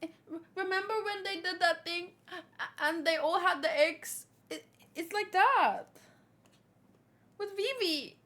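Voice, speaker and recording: A woman hosting a casual read-along, reading comic dialogue aloud and chatting as an animated fan. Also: extremely high pitch, a little slow. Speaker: host reacting to a manga chapter read-along.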